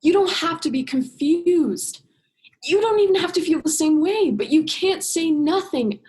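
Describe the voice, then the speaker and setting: A woman's voice: extremely high pitch, animated, measured pace, darkish tone. A young woman playing an earnest, exasperated human heroine in a radio play, with a direct, emotional delivery. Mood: hurt, pressing. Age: young adult. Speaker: drama student actor.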